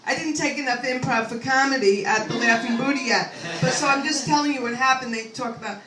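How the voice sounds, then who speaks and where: Older woman, stand-up comedian, high voice, rambling self-deprecating asides, echoey room, set back from the mic. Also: fairly quick, crowd noise. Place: comedy club stage. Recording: echoey room, mic at a distance, faint ambience.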